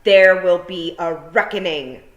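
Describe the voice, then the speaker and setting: A woman's voice: fairly low pitch, measured pace, echoey room, dull audio, close to an everyday voice. A woman as a fierce, indignant farm girl. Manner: indignant and loud. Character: stubborn young farm girl, fierce and sharp.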